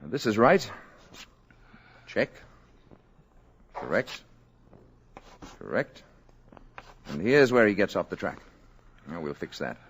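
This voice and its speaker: Brief: an alien visitor in human guise, low, measured, quietly assured; 1950s radio play. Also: male voice, very animated, slight background noise, heavily acted. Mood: calm. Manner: soft-spoken, measured and gently assured. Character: calm, courteous male space visitor posing as a man. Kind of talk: 1950s radio drama acting.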